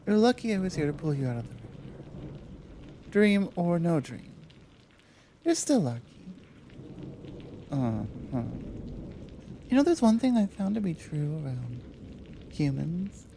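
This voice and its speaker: Slow, mellow woman acting a protective Amazon warrior. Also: low voice, a little hiss or hum, full character voice, rough recording. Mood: amused, incredulous, then teasing, flirtatious. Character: tall, powerful, protective Amazon warrior woman, gently teasing rescuer. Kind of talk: intimate ASMR audio roleplay.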